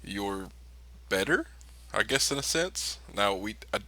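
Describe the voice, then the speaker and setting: A man's voice: fairly low pitch, very animated, measured pace, bright, forceful tone. A man improvising in character in a tabletop role-playing game, plain-spoken and matter-of-fact.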